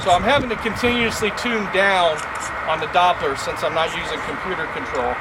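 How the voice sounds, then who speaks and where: A man's voice: high-pitched, fairly quick. A man in his thirties giving an informal, matter-of-fact live demonstration of amateur radio, picked up outdoors by a camera a few metres away. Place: outdoors by a car park, before a small group. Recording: very noisy outdoor recording with traffic.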